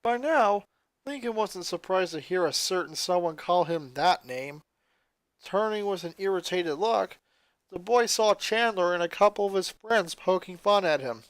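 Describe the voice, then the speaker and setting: A narrator reading a scripted story aloud in an expressive way. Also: mid-range voice, moderate pace, dry recording.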